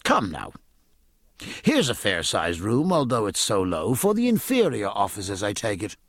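A man voicing an inquisitive, learned gentleman in an audiobook, formal and precise, questioning life aboard ship. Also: on the low side, huge pitch swings, moderate pace, no echo, studio quality, full character voice. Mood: mild, hesitant. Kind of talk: audiobook character acting.

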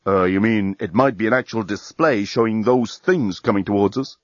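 A man voicing a sensible, hesitant man in a sci-fi audiobook, low-key and halting. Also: no echo, lo-fi sound, close to an everyday voice. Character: Dan, a sensible, hesitant man stranded aboard a starship.

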